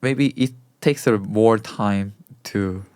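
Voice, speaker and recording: Interview guest: Korean-accented man, slightly low voice, hesitant non-native English, frequent laughter. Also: a little mellow, smooth, no room sound. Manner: halting, searching for English words, laughs often. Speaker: medical checkup center manager, guest. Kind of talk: casual interview chat.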